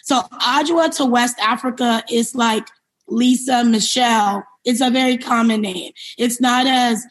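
A woman's voice: fairly high pitch, a little slow, a little hiss or hum. A woman who grew up in New York City, answering in a conversational interview as a company founder, reflective and explanatory. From born in Liberia, grew up in New York City.

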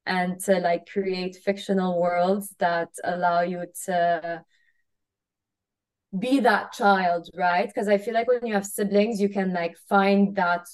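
A woman's voice: lowish voice, fairly even intonation, takes it slowly. A woman from Kuwait, a spiritual coach, talking as a podcast guest in a reflective, casual way with lots of "like" and "you know.". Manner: reflective, many "like" and "you know" fillers, trailing off quietly. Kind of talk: casual interview chat.

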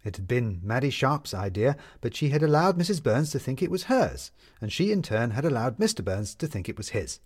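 Measured male storyteller, mid-range voice. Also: medium pitch, very animated, a little mellow, slightly rough. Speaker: narrator. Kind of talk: scripted story narration.

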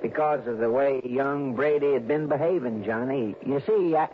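A man's voice: medium pitch, normal speed, close to an everyday voice. A man playing a friendly, folksy fishing resort manager with an American accent in a 1960s radio drama, chatty and deferential. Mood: earnest, confiding. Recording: dull, noisy vintage radio recording.

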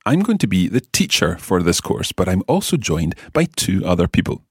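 A man hosting a podcast lesson as the teacher, speaking in a clear, enthusiastic teacherly way. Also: low voice, big pitch swings, normal speed, no echo, studio quality. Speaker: language teacher and podcast host.

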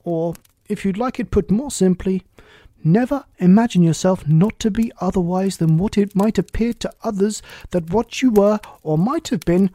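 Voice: mid-range voice, warm tone, no echo, voice pushed up, natural voice. A reader voicing a pompous, moralizing and cloyingly agreeable Duchess, a rambling, self-pleased woman, in a storybook.

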